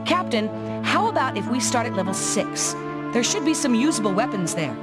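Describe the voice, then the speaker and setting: Game squad soldier: blunt, scolding woman with a highish voice, loud music underneath. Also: big pitch swings, normal speed. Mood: wry. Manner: blunt, no-nonsense. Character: tough, scolding female soldier in mech squad. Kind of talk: anime-style video game voice acting.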